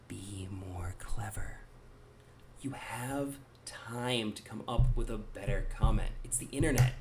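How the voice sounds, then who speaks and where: A man in his thirties talks straight to his viewers in a loose, rambling monologue, wry and a bit self-deprecating. His voice sits a little low, with a faint hiss of noise under it. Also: slow pace. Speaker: gaming YouTuber.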